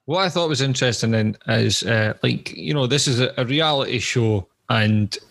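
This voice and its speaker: A man's voice: medium pitch, normal speed, vocal fry, a bit bright. A man co-hosting a podcast, telling a story in casual chat, swearing freely between hesitant fillers. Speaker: podcast co-host.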